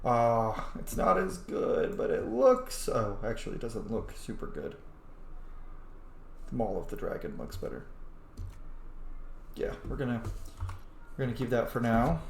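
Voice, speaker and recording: A man's voice: medium pitch, very animated, measured pace, gravelly, long pauses. A man giving casual let's play commentary while roleplaying a video game, rambling and thinking aloud. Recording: roomy echo, faint background noise. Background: video game ambience.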